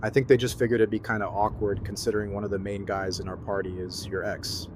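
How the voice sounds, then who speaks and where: Man acting easygoing, slangy young-hearted buddy, slightly low voice. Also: flat delivery, quick pace, noisy background, lightly acted. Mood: friendly, upbeat. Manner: casual, slangy, laid-back. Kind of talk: fan audio drama acting, casual dialogue.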